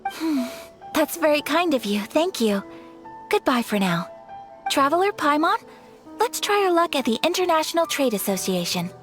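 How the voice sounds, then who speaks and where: A woman's voice: sits high, big pitch swings, fairly bright tone. Ayaka, a poised noblewoman. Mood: polite. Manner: polite, measured and formal. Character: young noblewoman, poised, formal and gracious. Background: game music.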